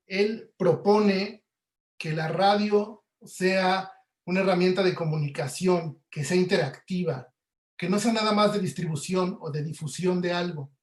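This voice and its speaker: A Mexican man giving an informal explanatory webinar lecture, often checking in with short tag questions. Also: high-pitched. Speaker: lecturer, audio producer. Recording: echoey room.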